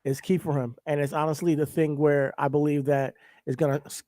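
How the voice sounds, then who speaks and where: A man from New with a cold, his voice a little raspy, laid back and laughing often as he co-hosts a casual wrestling podcast. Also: a little high, little pitch movement, fairly quick, mellow, dry recording, clean studio sound. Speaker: podcast co-host.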